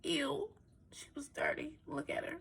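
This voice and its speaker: A woman reading a storybook aloud to young children as a teacher, pausing to explain things in simple phrases. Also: sits high, wildly swooping pitch, creaky, a bit bright, whispered. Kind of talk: expressive storybook read-aloud with asides.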